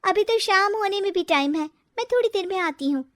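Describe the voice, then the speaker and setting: A woman's voice: extremely high pitch, expressive, brisk, no echo, studio quality, voice pushed up. A woman voicing a cheeky, scheming young daughter-in-law in a cartoon moral story, pert and theatrical. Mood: pert, defiant.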